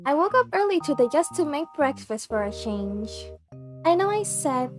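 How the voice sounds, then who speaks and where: A woman's voice: high-pitched, big pitch swings, normal speed. A woman voicing a bossy, impatient younger sibling in a visual novel, scolding, with game music behind.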